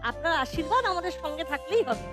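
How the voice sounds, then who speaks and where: A woman's voice: extremely high pitch, animated, fast talker. A woman voicing an earnest, easily indignant little schoolboy in a cartoon. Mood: earnest appeal. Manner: earnest, protesting. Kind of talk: cartoon voice acting. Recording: music behind the voice. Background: cartoon background music.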